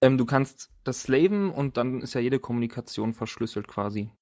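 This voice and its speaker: A man with a medium-pitched voice talks in a loose, colloquial way at a role-playing game. He jokes as he goes and speaks like someone among friends around the table. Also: lively intonation, moderate pace, a little mellow. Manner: colloquial, joking.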